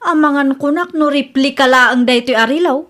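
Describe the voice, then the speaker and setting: A woman's voice: high voice, moderate pace, a bit bright. A woman playing a proud, fretful married woman in a comedy radio drama, with an Ilocano accent. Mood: suspicious, fretful.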